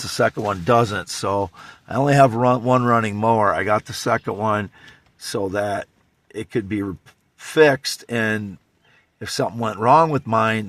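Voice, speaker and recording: A man in his fifties from Iowa with a Midwestern American accent and a scratchy voice, rambling in a halting, repetitive, insistent monologue. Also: low voice, a bit bright. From Iowa, United States. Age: 50s. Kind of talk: rambling self-recorded monologue.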